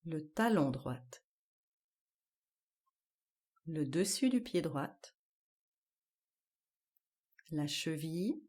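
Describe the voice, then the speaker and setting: Woman, yoga relaxation guide, very slow delivery, warm relaxed tone, calm measured phrasing. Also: sits low. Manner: calm, measured instruction with spaced-out short phrases.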